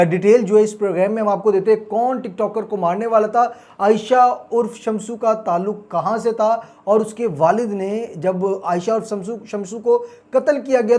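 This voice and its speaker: A man's voice: sits high, a little mellow. A man with a Pakistani accent hosting a crime news report, speaking dramatically and emphatically, repeating key phrases for suspense. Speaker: host of a crime news channel.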